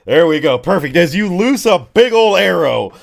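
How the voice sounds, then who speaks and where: A man's voice: high voice, sing-song, normal speed, gravelly. A man running a tabletop roleplaying game as game master, narrating casually with slangy descriptions and vocal sound effects.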